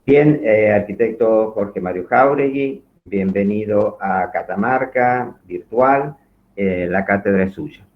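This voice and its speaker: A man hosting an online event, formally reading out material in a halting way and stumbling over foreign names. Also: on the low side. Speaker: host introducing a guest lecturer. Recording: thin phone-like online call audio.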